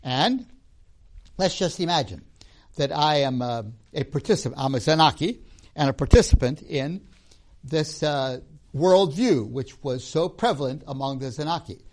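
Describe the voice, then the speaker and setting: A man's voice: fairly high pitch, lively intonation, normal speed, darkish tone. A man who grew up in Tanzania giving a storytelling lecture on religion and myth, often ending points with a tag question.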